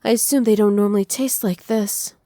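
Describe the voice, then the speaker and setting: A woman playing a doting, possessive witch in an ASMR roleplay, speaking softly with teasing, condescending affection. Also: medium pitch, steady tone, fairly quick, no echo, studio quality, natural voice. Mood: soft, coaxing. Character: doting, possessive witch mistress pampering her captive pet. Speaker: voice actor.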